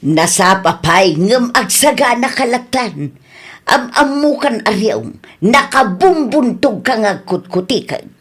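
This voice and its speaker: A woman's voice: a little high, lively intonation, slow, breathy edge, exaggerated voice. A woman with a Filipino accent playing a doting, stubborn old grandmother who complains emphatically in a radio comedy drama. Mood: excited, proud.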